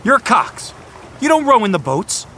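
A cruel young team captain in an audio drama. His voice is fairly high, sharp and animated, dripping with condescension over noisy ambience. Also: measured pace, exaggerated voice. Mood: cruel, mocking. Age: young adult. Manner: mocking, condescending. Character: cruel, domineering young male crew captain.

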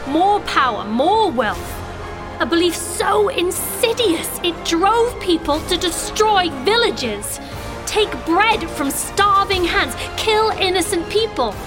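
A woman's voice: very high voice, sing-song, slow, full character voice. A woman playing a young, sheltered sorceress princess who earnestly narrates her own story in an audio drama. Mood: troubled, reflective. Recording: music behind.

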